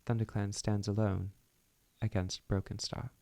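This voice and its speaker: A man's voice: fairly low pitch, level tone, a little slow, gravelly, warm tone. A man voicing a stern, protective female cat clan leader with an amateur put-on British accent, speaking firmly and formally. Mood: resolute. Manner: firm, formal, declarative.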